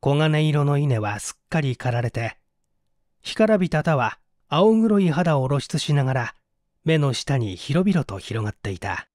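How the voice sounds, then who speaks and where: A man's voice: on the high side, very animated, measured pace, a little mellow, dry recording, studio quality. A man reading a literary mystery story aloud as a scripted, dramatic narration. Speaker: audiobook reader.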